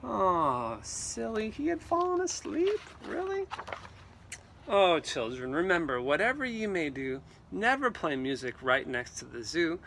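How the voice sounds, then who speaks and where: A man reading a storybook aloud to children in an animated, theatrical way, with exclamations and asides. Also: high voice, measured pace, creaky, a little hiss or hum. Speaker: read-aloud teacher.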